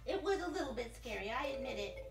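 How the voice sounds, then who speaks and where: A brave little puppet boy, acted by a woman, speaks in an extremely high, swooping voice. He is boastful, earnest and childlike, in a live puppet show with a roomy echo and music behind him. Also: lively intonation, fast talker, gravelly. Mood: unimpressed.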